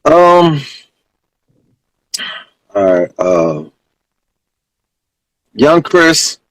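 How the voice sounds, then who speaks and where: A man's voice: a little high, big pitch swings, very slow, fairly bright tone, no echo, low-quality recording. A man chatting casually in slang as an interviewed rapper. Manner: slangy, conversational.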